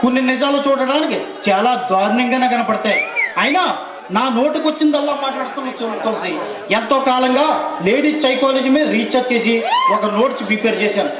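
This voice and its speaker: A man's voice: very high-pitched, a little fast, a crowd in the background. A man on stage playing a brash, opinionated suitor in a Telugu play, with declamatory, rhetorical delivery. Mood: opinionated, probing. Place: live theatre stage. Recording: echoey stage hall with noisy audience.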